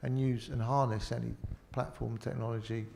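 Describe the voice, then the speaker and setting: A man's voice: mid-range voice, level tone, normal speed, gravelly, mellow, a little hiss or hum. A man with a Liverpool accent speaking on a panel in a rambling way, full of "you know". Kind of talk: casual panel talk.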